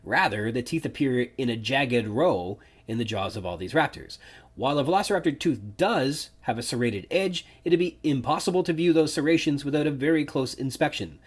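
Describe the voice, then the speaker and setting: A man's voice: medium pitch, animated, brisk, husky. A man hosting a solo podcast, musing thoughtfully over a novel and picking apart details. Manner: thoughtful, musing, nitpicky asides. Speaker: podcast host. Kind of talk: solo book-discussion podcast.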